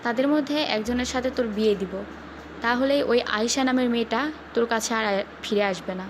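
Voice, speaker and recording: A woman acts out an elder sister in a romantic audio drama, protective and scheming. Her voice is fairly high and firm, giving advice, with noticeable ambient noise behind it. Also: normal speed, close to an everyday voice. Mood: firm, advising. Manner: firm, advising. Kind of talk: Bengali audio love-story drama.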